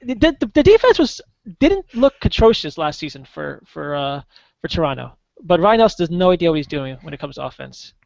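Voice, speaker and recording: High-voiced man on a dry, dull line. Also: very animated, gravelly, darkish tone, no room sound. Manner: halting, many fillers and restarts. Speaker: guest soccer contributor. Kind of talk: casual podcast chat. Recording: dull lo-fi podcast audio, dry.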